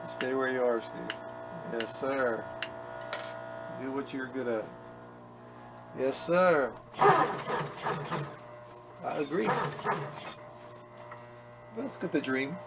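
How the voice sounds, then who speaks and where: A man's voice: mid-range voice, slow pace, creaky, pauses often. A man talking casually as he works on a leather restoration, chatty and self-mocking. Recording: workshop noise behind the voice.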